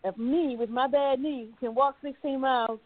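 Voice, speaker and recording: A woman's voice: high-pitched, fairly even intonation, moderate pace, no echo. Older lady calling in, lots of ums, encouraging, tinny phone line. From Virginia, USA. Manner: warm, encouraging, rambling with fillers. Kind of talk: casual call-in chat.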